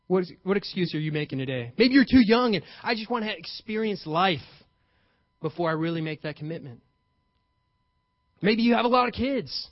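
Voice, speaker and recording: Animated high-voiced male preacher. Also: dry recording. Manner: animated, acts out paraphrased dialogue with playful emphasis. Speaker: pastor. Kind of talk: sermon. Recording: dull, slightly lo-fi, dry sound.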